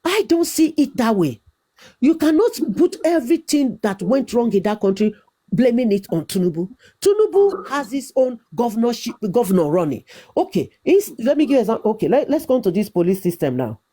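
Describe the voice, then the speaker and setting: A woman's voice: fairly high pitch, very animated, a little fast, warm tone. A Nigerian woman arguing insistently in a heated debate, repeating herself and talking over interruptions.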